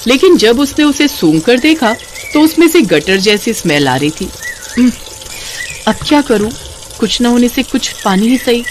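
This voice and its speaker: A woman's voice: medium pitch, a little hiss or hum. A woman storyteller reading a scripted moral story as narrator.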